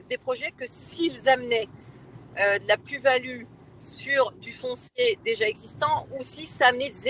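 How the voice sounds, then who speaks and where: A woman, a local elected official, explaining her position in a panel discussion, emphatic and persuasive, with hesitant pauses. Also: high voice, fairly bright tone, a little hiss or hum, poor audio.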